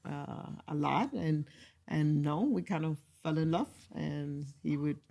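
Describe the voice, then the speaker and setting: A woman from the Dominican Republic, a podcast guest, telling family stories in an animated way, laughing often. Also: sits low, a little slow, creaky, background noise. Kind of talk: casual storytelling.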